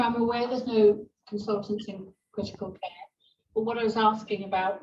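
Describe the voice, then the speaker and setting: A woman board member posing methodical questions in a formal meeting, citing page numbers and pausing with "um". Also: medium pitch, darkish tone. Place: meeting room. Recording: distant echoey muffled mic with faint noise.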